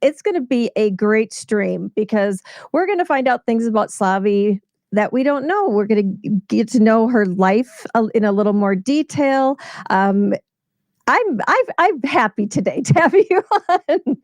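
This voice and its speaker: A woman's voice: a little high, expressive, dry recording, studio quality. Giggly chatty woman host. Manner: chatty, giggly, halting with fillers. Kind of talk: casual livestream interview.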